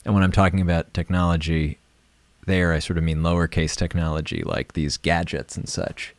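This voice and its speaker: A man hosting a live stream, guiding meditation and chatting casually in a gentle, unhurried, reflective way. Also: deep voice, little pitch movement, no room sound.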